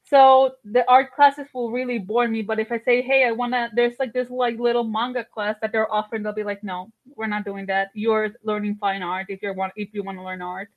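A woman's voice: a little high, level tone, brisk, hardly any pauses. A woman, chatting as an interview guest with lots of fillers and restarts. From Colombia. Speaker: guest artist. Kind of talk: casual interview chat. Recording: tinny phone-like line.

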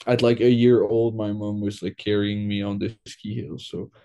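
Low, mellow-voiced young man from Quebec. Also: level tone, moderate pace, creaky. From Quebec, Canada. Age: young adult. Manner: loose, filler-heavy, lots of "like". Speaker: guest. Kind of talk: casual interview.

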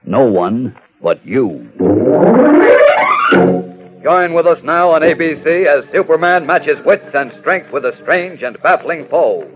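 A man's voice: fairly high pitch, expressive, slow pace. A man acting as a grave, suspenseful narrator in a theatrical 1940s radio drama style. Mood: rousing. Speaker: announcer and narrator. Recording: dull old radio recording. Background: dramatic music.

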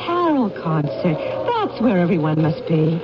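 Worried young wife, slow and swoopy, 70s radio drama. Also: female voice, lowish voice, big pitch swings. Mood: uneasy. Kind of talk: 1970s radio drama acting. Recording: muffled, noisy 1970s radio broadcast.

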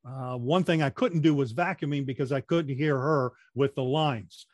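A man with a voice that projects well, explaining training methods in a measured way and often restarting his sentences in a relaxed interview. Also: mid-range voice, no echo, studio quality. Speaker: guest, corporate trainer. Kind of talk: conversational interview.

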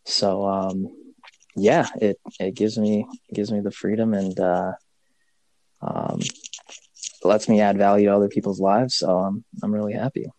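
Interview guest: man from Kenya, lowish voice, unhurried and easygoing, dry close recording. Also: darkish tone, no echo, low-quality recording. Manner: easygoing, pausing to search for words. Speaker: podcast guest. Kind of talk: casual interview chat.

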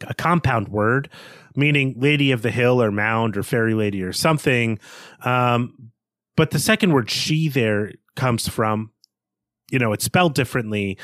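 A man's voice: mid-range voice, dry recording, clean studio sound. Chatty man discussing a book. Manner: relaxed, chatty, frequent fillers. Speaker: podcast co-host.

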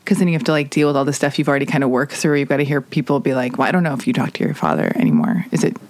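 A woman's voice: rumbling low, animated, racing, a little mellow, no echo. A woman hosting a casual podcast interview, talking in a rambling, confiding and teasing way. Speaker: podcast host interviewing a guest. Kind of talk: casual, candid chat.

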